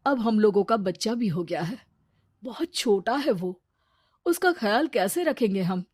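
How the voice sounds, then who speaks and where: A woman's voice: mid-range voice, animated, unhurried, darkish tone, slightly rough, dry recording, clean studio sound. A woman voicing an arrogant, dismissive, newly rich husband in a cartoon story, with a boastful manner. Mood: persuading. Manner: scornful, boastful.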